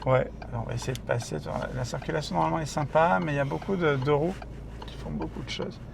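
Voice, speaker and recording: A man's voice: on the high side, fairly even intonation, quick pace, vocal fry, noisy background. A man hosting a casual interview, asking loose, joking questions while driving. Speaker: podcast host.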